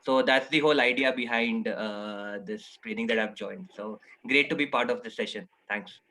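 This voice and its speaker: Late-twenties man, bright voice, relaxed and fluent. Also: medium pitch, moderate pace. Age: 20s. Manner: relaxed, fluent with light fillers. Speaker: participant, athlete.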